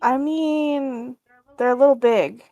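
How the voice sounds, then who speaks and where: A woman's voice: sits high, slow, warm tone, no echo, highs cut off, faint music behind. A woman speaking casually as a player in a tabletop roleplaying game, improvising her character's actions.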